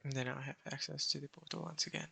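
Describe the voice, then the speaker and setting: A young man with a husky, breathy voice giving an understated step-by-step software walkthrough. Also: medium pitch, flat delivery, fast. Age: young adult.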